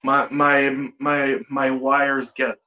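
A man with an Israeli accent, a native Hebrew speaker, chatting as a podcast guest in a halting way with many fillers. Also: mid-range voice, little pitch movement, pushed and bright, dull audio. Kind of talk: casual interview chat.

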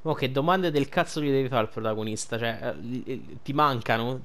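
A man's voice: mid-range voice, a little fast, a bit bright. A man giving casual, blunt, slangy commentary as he plays a video game.

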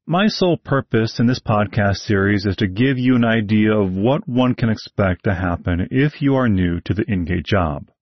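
A husky-voiced man with a somewhat low pitch delivers a scripted podcast talk, direct and advice-giving with dry, wry asides. The recording is dull and lo-fi, close and dry with no room sound. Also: animated, normal speed, few pauses, no room sound. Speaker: podcast host, horse show announcer.

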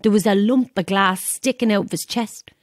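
An actress with an Irish accent playing a brisk, no-nonsense, plain-spoken theatre worker in a naturalistic audio drama. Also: female voice, mid-range voice, no echo, studio quality, lightly acted. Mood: grim, matter-of-fact.

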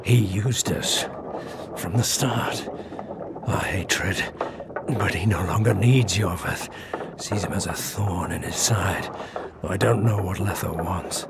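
Wounded elf fighter, low gravelly voice, pained, bitter, defiant, fantasy game, loud background noise. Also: male voice, slow pace, heavily acted. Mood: defiant, weary. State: weak, in pain, breathy gasps. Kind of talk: dramatic video game acting. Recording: loud ambient noise behind voice.

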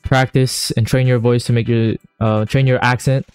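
A man narrating a casual software tutorial in a slangy, enthusiastic way. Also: medium pitch, flat delivery, moderate pace, clear, resonant tone, no room sound. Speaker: YouTuber host. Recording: music under the voice. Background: background music.

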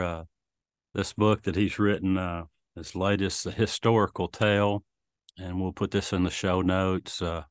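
Deep-voiced older man hosting. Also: no echo. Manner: unhurried, many fillers. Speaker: host. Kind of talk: interview. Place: home room with guitars and shelves.

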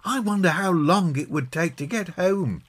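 A man's voice: sits high, lively intonation, normal speed, fairly bright tone, voice pushed up. A man voicing a meek, uneasy young boy in a children's storybook, agreeable and a little hesitant. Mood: curious.

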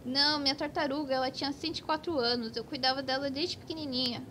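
An actress voices a whiny old Brazilian granny in a cartoon sketch. The voice is high-pitched and mournful, pleading like a child. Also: female voice, fairly even intonation, fast talker, pushed and bright, a little hiss or hum. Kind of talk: cartoon comedy sketch acting.

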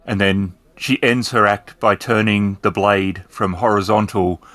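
Low-voiced male tabletop game master. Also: level tone, a little slow, a bit bright, no echo. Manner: descriptive, explanatory. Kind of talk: improvised tabletop game narration.

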